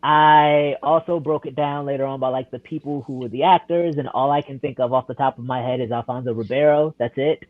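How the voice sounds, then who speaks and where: A man's voice: medium pitch, fairly even intonation, a little fast, hardly any pauses. A man co-hosting a casual podcast, talking in a hesitant, stammering way. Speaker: podcast co-host. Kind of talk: casual chat. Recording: dry tinny phone-like line.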